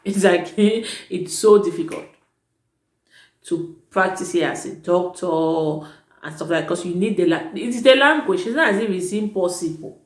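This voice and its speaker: A woman's voice: on the low side, unhurried. A woman who works as a teacher, giving casual advice in a vlog, chatty and emphatic, often correcting herself mid-sentence. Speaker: vlogger advising prospective students; teacher at an international school. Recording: echoey room.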